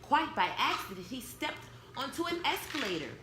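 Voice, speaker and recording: A woman reading a storybook aloud to young children, expressive, pausing to explain and ask simple questions. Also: sits high. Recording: echoey room with background noise.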